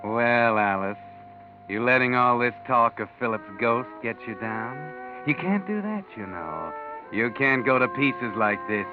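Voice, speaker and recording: An actor playing an ominous, insinuating narrator who taunts a character in a 1940s radio mystery, speaking deliberately. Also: male voice, medium pitch, expressive, natural voice. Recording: tinny 1940s radio recording. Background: prominent music.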